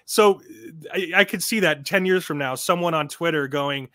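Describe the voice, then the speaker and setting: A man's voice: fairly high pitch, moderate pace, sharp tone, dry recording, clean studio sound. A middle-aged man hosting a livestream, giving casual commentary in an emphatic, rambling way and often repeating his phrases. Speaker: livestream host. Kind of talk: casual commentary on a livestream, reading articles aloud.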